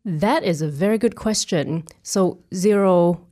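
Woman interviewee with a lowish voice. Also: unhurried, mellow. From Thailand, from Bangkok. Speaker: interview guest, web developer at a software company. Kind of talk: casual interview answers.

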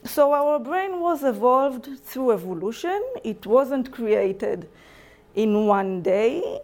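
Young israeli woman giving a talk, slow and careful, highish voice, stumbles and restarts words. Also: lively intonation, darkish tone. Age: young adult. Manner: halting, self-correcting non-native English with restarts. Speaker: lecturer. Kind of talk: public lecture. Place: lecture room.

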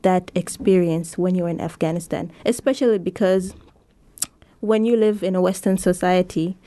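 A woman's voice: on the low side, fairly even intonation, normal speed, dark tone. A woman hosting an interview, encouraging and affirming her guest as she talks. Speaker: interviewer, youth show host. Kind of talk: casual interview.

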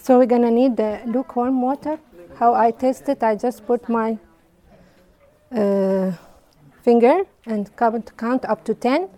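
A woman from Iraq leading a hands-on bread-making workshop, explaining steps in simple, halting English with fillers. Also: fairly high pitch, warm tone. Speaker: baking workshop teacher. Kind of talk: hands-on cooking demonstration. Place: community hall kitchen.

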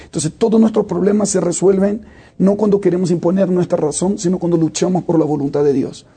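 A man's voice: sits high, fairly even intonation, brisk, dark tone, husky. A man, a worship leader answering interview questions reflectively and with frequent hesitations. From Brazil. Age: 30s.

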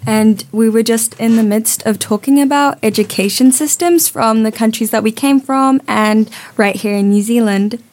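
A teenage girl co-hosting a youth radio chat, telling her stories in a lively way and laughing easily. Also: on the high side. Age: teens. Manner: quick to laugh, animated storyteller. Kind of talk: casual chat.

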